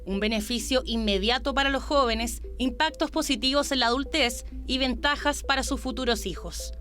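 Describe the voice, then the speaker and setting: A woman reading a scripted news voice-over in a polished, even way. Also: high-pitched, moderate pace. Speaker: narrator.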